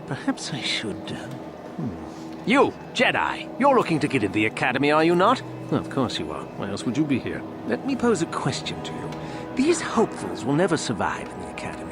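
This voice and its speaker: Contemptuous Sith instructor, theatrical sneer, very dramatic swoops, game villain, music behind. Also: male voice, medium pitch, huge pitch swings, fairly quick. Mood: mocking, musing. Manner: haughty, theatrical, mocking. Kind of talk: video game villain acting.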